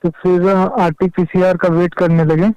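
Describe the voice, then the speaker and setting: High, clear male voice on a phone call. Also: little pitch movement, moderate pace, dry recording. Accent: Bihari. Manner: plain, step-by-step telling. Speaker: personal assistant to a politician, interviewee. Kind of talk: recounting events on a phone call. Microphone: telephone. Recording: dull, dry recorded phone call.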